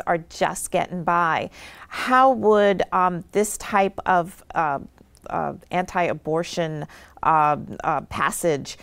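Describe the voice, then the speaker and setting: A woman's voice: fairly low pitch, smooth. A woman hosting a live television panel, polite and a little hesitant when asking questions. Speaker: host. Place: TV studio.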